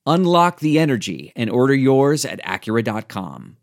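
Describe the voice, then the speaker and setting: A man with a mid-pitched voice delivers scripted commercial narration. He reads as an announcer for a car ad. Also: sing-song, normal speed, vocal fry. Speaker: advertisement announcer.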